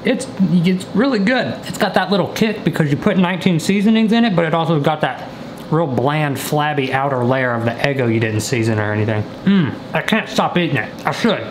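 A young man vlogs with dry, deadpan humor, his pitch jumping up and down as he jokes. He sounds like he is in an echoey kitchen, picked up by a camera with clear background noise. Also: a little fast. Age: 20s. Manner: deadpan, joking asides. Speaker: vlogger. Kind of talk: comedic cooking vlog chat. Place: indoors, small apartment kitchen. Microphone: camera mic at a short distance.

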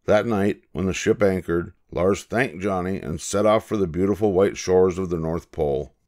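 An older man narrating a children's picture book in a measured, expressive storytelling manner. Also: sits low. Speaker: storybook reader.

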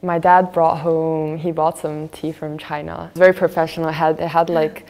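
Student guest from Finland, female, early twenties: low, level voice in casual conversation. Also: flat delivery, normal speed, darkish tone, in a large hall, rough recording. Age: early 20s. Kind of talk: casual panel chat. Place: large indoor cafe-like room. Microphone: lapel.

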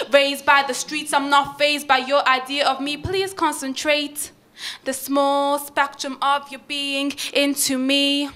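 A young woman recites rhythmic performance poetry on a stage mic. Her voice is high and sharp, held fairly level and taken at a measured, slowish pace. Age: young adult. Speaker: spoken word poet. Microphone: handheld stage microphone.

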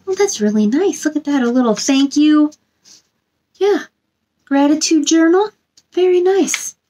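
Female children's read-aloud host, thirties, high voice, expressive and simple delivery for young viewers. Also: measured pace. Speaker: children's story time host. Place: small indoor room.

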